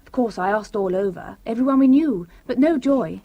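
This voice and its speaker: High, lively young British flatmate. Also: female voice, highs cut off. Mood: brisk, breezy. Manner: brisk, candid, breezy. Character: breezy young British flatmate, defensive, untidy, candid. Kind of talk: radio drama acting.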